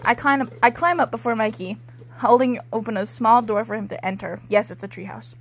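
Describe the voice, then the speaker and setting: A woman's voice: fairly high pitch, fairly quick, breathy edge. A teenage girl giving a casual comedic dramatic reading, giggly and irreverent, often breaking off to chat. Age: teenager, about sixteen. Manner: giggly, irreverent, swearing, interrupts own reading. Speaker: podcast co-host reading fan fiction aloud.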